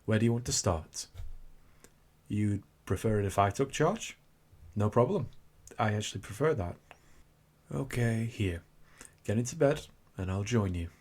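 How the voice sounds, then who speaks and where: A man's voice: lowish voice, animated, measured pace, long pauses, darkish tone, slightly rough. A man playing a suave, teasing incubus demon in a scripted ASMR roleplay, with theatrical delivery. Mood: tender, soothing. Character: seductive, cocky male incubus demon turning flustered and tender.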